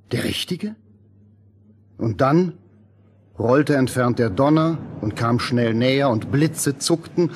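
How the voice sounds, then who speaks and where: Husky male fairy-tale narrator. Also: medium pitch. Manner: suspenseful, deliberate phrasing.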